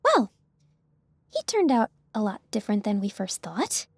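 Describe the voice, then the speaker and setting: A woman's voice: mid-range voice, very animated, unhurried, creaky, husky, no room sound, heavily acted. A woman voicing a curious, hopeful young girl adventurer in an audiobook drama, informal and questioning. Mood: casual.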